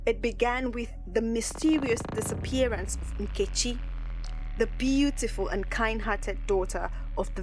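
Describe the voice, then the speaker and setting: Slow-paced woman storyteller. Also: medium pitch, poor audio. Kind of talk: scripted folktale narration. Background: music.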